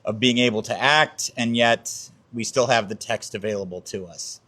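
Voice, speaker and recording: A man's voice: medium pitch, normal speed, bright, forceful tone, breathy edge. An older man, a community theatre actor, chatting casually as an interview guest.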